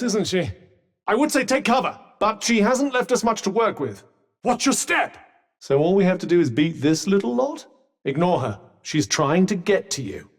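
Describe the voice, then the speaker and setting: A haughty, sardonic magical companion from a video game, speaking in posh British tones. The voice sits a little high and swings expressively from line to line. It is droll, understated and faintly condescending, and rings with a long reverb. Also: male voice. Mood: wry, alert, then urgent.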